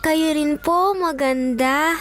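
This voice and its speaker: A woman's voice: high voice, takes it slowly, fairly bright tone. A woman voicing a sweet, polite, curious little girl with childlike speech in an audio drama.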